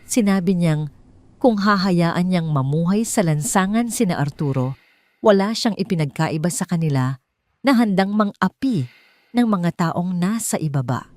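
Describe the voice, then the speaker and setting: Low-pitched Filipino woman narrating a drama. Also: darkish tone. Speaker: storyteller. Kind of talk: scripted narration of a sentimental drama story.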